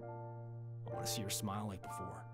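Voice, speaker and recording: A man's voice: lowish voice, little pitch movement, normal speed, natural voice. An actor playing a determined, protective young man in a video game, with a solemn, resolute manner. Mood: sorrowful, resolute. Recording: loud game music over dialogue.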